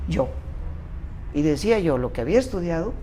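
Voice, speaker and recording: A man's voice: fairly high pitch, sing-song, brisk, mellow, slight background noise. An older man with a Mexican accent, a doctor telling his life story as an interview guest, rambling and correcting himself as he goes. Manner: rambling, self-interrupting recollection. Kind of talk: conversational storytelling.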